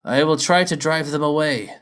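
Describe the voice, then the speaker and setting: A man voicing a wise, helpful old male pony from a storybook, with measured, drawn-out emphasis. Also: a little high, fairly bright tone, voice pushed up, close to an everyday voice. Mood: worried, brave. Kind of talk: storybook character voice.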